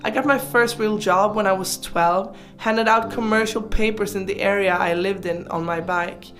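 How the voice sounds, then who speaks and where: Girl in her 20s, german speaker, chatty casual life story voiceover, playful, music clearly playing behind. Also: medium pitch, fairly even intonation, normal speed, fairly bright tone. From Sweden. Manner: chatty, informal, playful asides. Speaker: video creator narrating her life. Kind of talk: casual personal storytelling voice-over.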